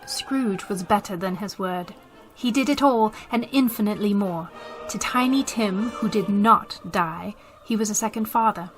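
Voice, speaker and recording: A woman's voice: mid-range voice, a bit bright, rough recording. Woman storyteller over faint music. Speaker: narrator. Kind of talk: scripted storytelling narration for radio drama.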